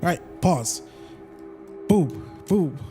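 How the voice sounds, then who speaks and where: A man's voice: on the high side, lively intonation, very slow, over music. A man doing casual, slangy live gameplay commentary, thinking aloud as he plays. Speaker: video game livestreamer.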